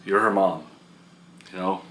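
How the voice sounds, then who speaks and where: Man acting deep-voiced gentle, devoted partner. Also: fairly even intonation, measured pace, slight background noise. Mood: coaxing, reassuring. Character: gentle, reassuring, devoted male partner of new mother.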